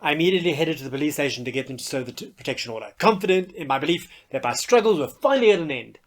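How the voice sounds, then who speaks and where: A man's voice: fairly high pitch, expressive, very fast. A man telling a personal story as a podcast host, candid and wry. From South Africa.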